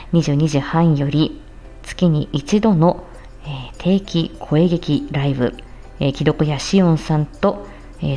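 A woman's voice: low-pitched, darkish tone. A woman streamer chatting casually in a giggly, bashful, hesitant way as she makes announcements. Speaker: streamer and amateur voice-drama performer.

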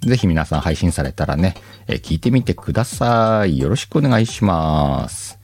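A man's voice: low voice, animated, normal speed, darkish tone, slightly rough, no echo, soft background music. Chatty man reading letters. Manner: chatty, laughs easily, comments aloud on letters as he reads them. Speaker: podcast host reading listener letters. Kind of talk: casual chat. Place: home recording.

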